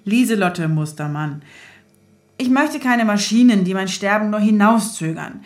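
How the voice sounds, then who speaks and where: Woman acting a energetic girlfriend, thirties, medium pitch, radio drama, teasing, officious, quick-witted. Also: natural voice. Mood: mock official. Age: 30s. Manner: playfully officious, brisk. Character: brisk, teasing younger girlfriend, clever and energetic. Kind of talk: naturalistic German radio drama.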